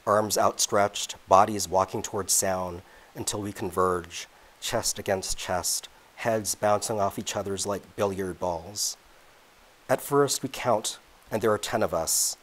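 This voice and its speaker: A man reads from his own novel at a public event. His voice is very deep, and he takes the prose at a measured, deliberate pace. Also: fairly bright tone, rough recording. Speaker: author reading his novel. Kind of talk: reading aloud from a novel.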